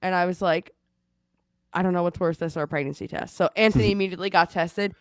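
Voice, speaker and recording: A woman in her thirties, congested and losing her voice, chatting at home and telling a lively story over a video call. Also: on the low side, fast talker, smooth, no echo, studio quality. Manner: chatty storytelling, animated retelling. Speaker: co-host. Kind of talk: casual chat. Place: at home in Seattle.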